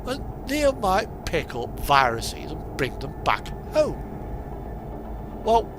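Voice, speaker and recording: A man rambling casually as he streams, speaking haltingly with pauses mid-sentence. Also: high voice, huge pitch swings, noisy background. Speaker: game streamer. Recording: electronic music playing behind the voice. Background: non-stop music from in-game radio, vehicle sounds.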